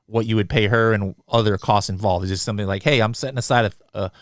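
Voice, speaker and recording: A man's voice: lowish voice, fairly even intonation, fast, no room sound. Rambling male podcast host, dry sound. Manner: rambling, hedging questions with many fillers. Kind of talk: interviewing, casual questions.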